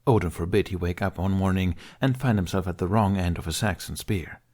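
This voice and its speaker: A man's voice: low-pitched, a little fast, darkish tone. A man reading a story aloud as an audiobook narrator in a scripted, storytelling style.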